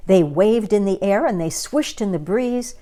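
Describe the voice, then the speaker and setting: A woman storyteller reading a children's story aloud in an expressive, dramatic and deliberate manner. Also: medium pitch.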